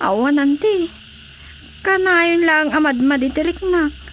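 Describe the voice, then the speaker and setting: A woman's voice: sits high, slow pace, slight background noise. A woman acting a listless, lazy young wife in a radio drama, evasive, with an Ilocano accent.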